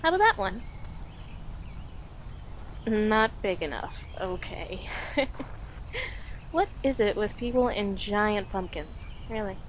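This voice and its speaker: A playful young girlfriend played by a woman chats intimately to her date in an audio roleplay. Her mid-pitched voice moves at a leisurely pace, teasing and affectionate. Outdoor ambience is clearly heard behind her. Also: animated, a little hiss or hum. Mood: casual, teasing. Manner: teasing, giggly, conversational with pauses. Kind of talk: intimate audio roleplay, one-sided conversation.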